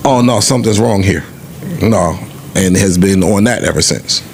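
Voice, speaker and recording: A man's voice: deep voice, normal speed, a little hiss or hum. An American man in casual podcast chat, speaking informally and acting out other people's reactions.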